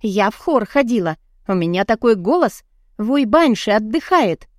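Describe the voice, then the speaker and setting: A woman's voice: medium pitch, lively intonation, moderate pace, darkish tone, dry recording, clean studio sound, close to an everyday voice. Flustered, indignant young woman acted by a woman reader. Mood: panicked, threatening. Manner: chattering, pleading, protesting. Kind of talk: audiobook character dialogue.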